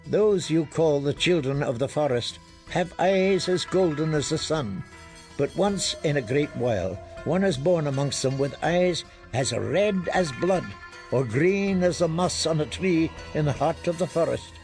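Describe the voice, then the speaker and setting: Man voicing an ancient seer lord, slow and dry. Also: a little high, animated, a little mellow, faint music behind. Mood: solemn, cryptic. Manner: slow, halting, weighty. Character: ancient pale withered seer lord, solemn and cryptic.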